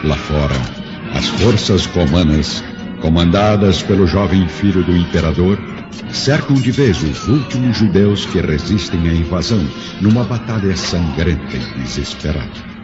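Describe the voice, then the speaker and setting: Very deep, solemn male narrator over music. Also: animated, normal speed. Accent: Brazilian. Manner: grave, solemn. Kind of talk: dramatic scripted radio drama narration. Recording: dull lo-fi audio under clear music.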